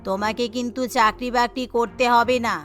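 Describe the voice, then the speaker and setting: Cartoon rich household's matriarch played by a woman: older, firm, disapproving, pitched well down, music underneath. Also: fairly even intonation, fairly quick, lightly acted. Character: disapproving older mother-in-law of a wealthy household.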